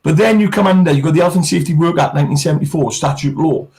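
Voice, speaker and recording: Calm man explaining the law. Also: on the high side, racing, faint music behind. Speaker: guest. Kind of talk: explaining in casual chat.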